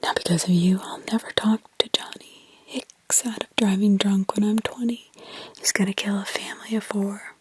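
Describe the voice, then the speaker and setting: A woman's voice: medium pitch, fairly even intonation, normal speed, natural voice. A woman voicing a possessed little girl who sounds both childlike and demonic, whispering with menace. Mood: menacing. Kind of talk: whispered horror story reading.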